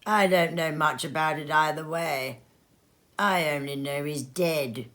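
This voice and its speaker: A woman's voice: deep voice, measured pace, a bit bright. A woman voicing a great fat businessman in a storybook reading, offhand, jocular and callous, with a bored drawl. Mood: bored, indifferent.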